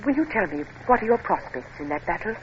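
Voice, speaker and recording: Actress as gracious English lady, spirited, very expressive pitch, 1950s radio play, muffled, faintly noisy. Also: quick pace, natural voice. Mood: earnest, brave. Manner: animated, gracious, refined. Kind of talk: 1950s radio drama acting.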